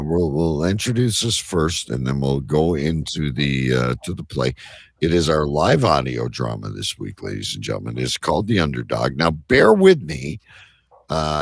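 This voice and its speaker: A man hosting a casual live show, talking in a rambling, halting way. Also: a bass voice, creaky, dry recording. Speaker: show host.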